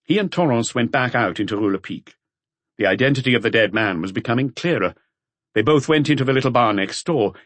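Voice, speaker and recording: A man reading a mystery audiobook as scripted narration, in a measured storytelling manner. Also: mid-range voice, animated, a bit bright, no room sound.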